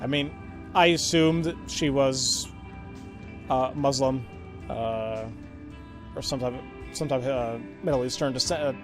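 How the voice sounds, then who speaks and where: A man with a medium-pitched voice hosts a casual let's play. He thinks out loud in a hesitant way and reads bits of on-screen text. Game music plays softly behind his voice. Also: fairly even intonation, a little slow, pauses often. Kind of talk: casual game commentary, reading in-game text aloud.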